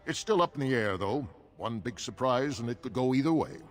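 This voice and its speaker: An actor playing a discreet dwarf steward in a video game, with a wry, officious manner. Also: male voice, mid-range voice, expressive, a little fast, faint music behind, natural voice. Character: discreet, chatty male dwarf political steward. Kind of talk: video game dialogue acting.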